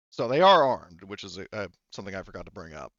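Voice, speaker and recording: A man running a tabletop roleplaying game, narrating casually in a halting, rambling way with some swearing. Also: fairly low pitch, fairly bright tone, dry recording, muffled recording. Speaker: game master.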